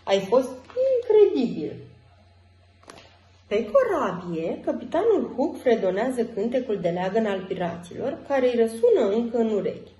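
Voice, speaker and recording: Animated, higher-voiced woman telling children's stories. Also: few pauses. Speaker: children's storyteller. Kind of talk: expressive storybook narration for children. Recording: roomy echo, faint music.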